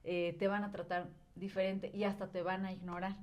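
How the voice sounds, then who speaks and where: Woman guest, mid pitch, explains things slowly w/ lots of fillers. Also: flat delivery, a little mellow. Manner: explains at length, thinking aloud with filler words. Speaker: guest, language educator. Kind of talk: casual conversation.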